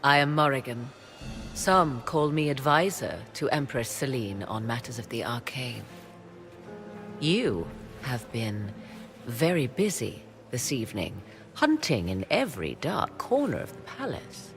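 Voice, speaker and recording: An actress playing a sly, mocking court sorceress in a video game cutscene, speaking slowly and teasingly. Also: female voice, very deep voice, sing-song, a bit bright, full character voice. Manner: slow, teasing, measured. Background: music.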